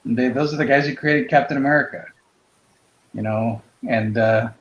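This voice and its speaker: A man in casual conversation about comics, explaining things in a tentative, halting way as a panelist. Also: mid-range voice, reverberant, highs cut off. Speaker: panelist on a comics drawing show.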